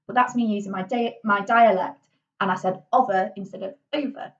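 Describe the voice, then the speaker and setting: A woman's voice: medium pitch, steady tone, fairly quick, distant mic, lo-fi sound. A woman with a Yorkshire accent giving a casual drama lesson, chatty and full of ums and little restarts. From Yorkshire, England. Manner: chatty, with many ums and self-corrections. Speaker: drama teacher.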